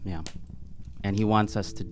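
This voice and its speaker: A man playing a tabletop roleplaying game, talking casually in halting, hesitant phrases. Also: fairly low pitch, level tone. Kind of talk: casual chat, in-game discussion.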